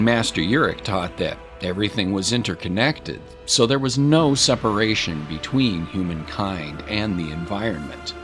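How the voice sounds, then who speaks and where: Deep-voiced male story narrator. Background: music.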